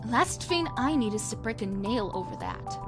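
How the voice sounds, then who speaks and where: A woman's voice: fairly high pitch, expressive, normal speed, loud music behind the voice, natural voice. A woman playing a vain, carefree young woman in an audio drama, with a breezy, teasing, theatrical delivery. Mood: breezy, smug.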